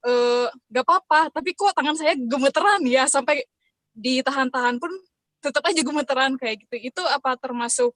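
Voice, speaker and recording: A woman's voice: sits high, a little fast, fairly bright tone, no room sound. A young woman from West Java, Indonesia, asking a question hesitantly over a video call, with many fillers.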